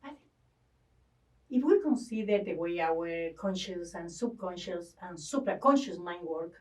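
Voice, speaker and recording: A woman's voice: on the low side, expressive, a little slow, a little mellow, far from the mic, rough recording. A woman from Ecuador, a guest talking earnestly about spirituality, often stopping to search for words. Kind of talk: spiritual conversation.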